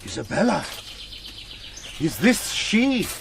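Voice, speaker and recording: A man's voice: high voice, huge pitch swings, slow, gravelly, noisy background, exaggerated voice. An actor playing a proud, imperious middle-aged nobleman in a gothic audio drama, grandly theatrical and declamatory. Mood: astonished, grand. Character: proud, imperious noble knight, a long-lost father. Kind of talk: period audio drama acting.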